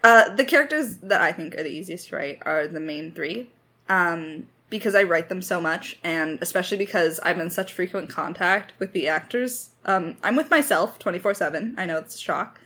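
A woman's voice: on the low side, normal speed, pushed and bright. A woman chatting casually in a creator Q&A, effusive and playfully dramatic, rambling along in slangy speech. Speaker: lead writer and voice actor of an audio drama.

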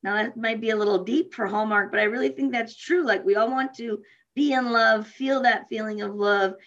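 Animated woman from Minnesota, casual storyteller. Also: fairly high pitch, normal speed, dull audio. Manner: animated storyteller, laughs often. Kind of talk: casual chat.

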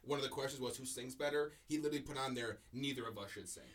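A man's voice: medium pitch, very fast, a bit bright, recorded from a distance. A man co-hosting a podcast in casual chat, rambling with many stammered restarts. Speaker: podcast co-host.

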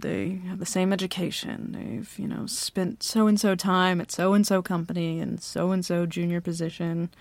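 A woman playing a slow, teasing bossy CEO. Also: medium pitch, vocal fry, dry recording. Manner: slow, confident, teasing, with soft laughs. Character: bossy, teasing female CEO interviewing an applicant. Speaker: ASMR roleplay performer. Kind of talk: flirty ASMR roleplay.

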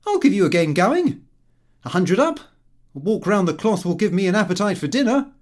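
A man's voice: sits high, very animated, fairly quick, darkish tone, voice pushed up. A man voicing a cocky, slangy young idle son in an audiobook, breezy and cheeky. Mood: eager, cocky. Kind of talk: audiobook character dialogue.

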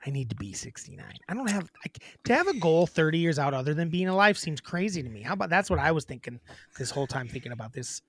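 A woman's voice: sits low, big pitch swings, quick pace, slight background noise. A young woman co-hosting a podcast, blunt and crude, teasing her co-host in casual chat.

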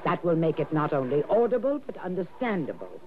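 An actor playing a proud, doting and easily exasperated professor who trains dolphins, coaxing and insistent, in a 1960s radio drama. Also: male voice, a little high, wildly swooping pitch, fairly quick. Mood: impatient insistence. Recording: tinny, noisy old radio broadcast.

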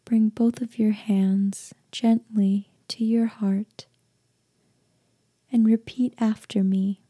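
A woman leading a guided meditation in a soft, breathy, half-whispered voice, gentle and deliberate, leaving long pauses. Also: mid-range voice, level tone, no echo. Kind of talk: guided meditation, slow soothing instruction.

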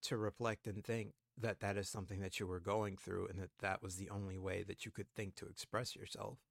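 A man's voice: low-pitched, level tone, a little fast, vocal fry, no echo, clean studio sound. A man in his twenties co-hosting a podcast, reflecting aloud in a casual, thoughtful way with frequent fillers. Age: 20s. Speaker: podcast co-host. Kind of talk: casual conversation.

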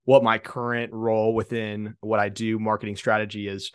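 A man in his twenties, a podcast guest, talking in a relaxed conversational way. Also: lowish voice, moderate pace, clean tone, dry recording, clean studio sound. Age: 20s. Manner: relaxed, conversational, many fillers and restarts. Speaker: guest, marketing consultant. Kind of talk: casual podcast interview.

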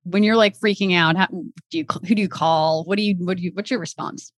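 A woman in her thirties hosting an interview, asking quick, curious follow-up questions in a casual way. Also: lowish voice, steady tone, dry recording, studio quality. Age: 30s. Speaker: podcast host.

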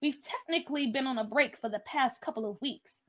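A woman's voice: high voice, a little fast. A woman voicing a casual, musing schoolboy in a story, slangy and a little hesitant. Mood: puzzled.

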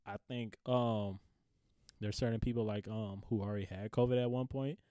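A man's voice: lowish voice, steady tone, normal speed, mellow, clear, resonant tone, dry recording. Laid-back young man, dry close sound. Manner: laid-back, laughs often, slangy. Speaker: podcast co-host. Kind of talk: casual chat.

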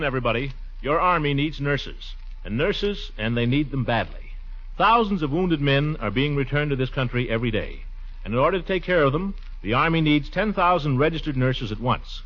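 A man making an earnest, direct public appeal in 1940s radio style. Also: medium pitch. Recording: dull vintage 1940s radio recording.

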